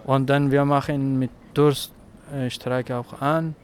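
A man's voice: mid-range voice, little pitch movement, slow pace, a little mellow, a little hiss or hum. A young man from Afghanistan speaking earnestly and hesitantly in a street interview at a protest. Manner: earnest, hesitant, searching for words. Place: outdoors on a city street.

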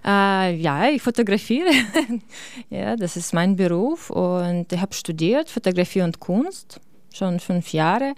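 A woman from Ukraine, a photographer in her forties, answering interview questions in halting German with many fillers. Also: medium pitch, lively intonation, a little mellow, no echo. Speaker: guest photographer. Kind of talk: radio interview.

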